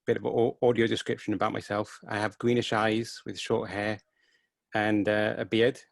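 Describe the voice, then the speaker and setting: A man's voice: lowish voice, flat delivery, normal speed, creaky, no room sound, clean studio sound. A man from Wales in his thirties, answering questions about his photography in an earnest, enthusiastic way over a video call. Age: 30s. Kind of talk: casual interview answers. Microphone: headset.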